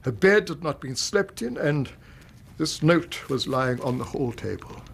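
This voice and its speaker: Distraught older banker: British, creaky, higher-pitched, stammering and pleading. Radio drama. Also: male voice, lively intonation, measured pace, fairly bright tone, a little hiss or hum, full character voice. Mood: broken, despairing. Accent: British English. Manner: stammering, agitated.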